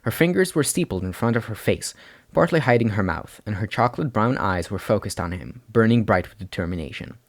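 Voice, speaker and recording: Expressive male story narrator. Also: on the low side, fairly quick. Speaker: amateur audiobook reader.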